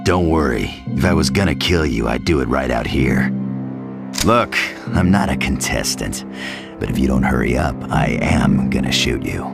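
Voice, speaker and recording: Menacing game antihero, extremely low voice, gravel, blunt delivery, swinging intonation, loud music behind. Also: male voice, expressive, normal speed, exaggerated voice. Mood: threatening, cool. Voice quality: deep, gravelly. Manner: blunt, tough. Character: gruff hardboiled male death-game fighter, menacing and terse. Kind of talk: video game cutscene acting.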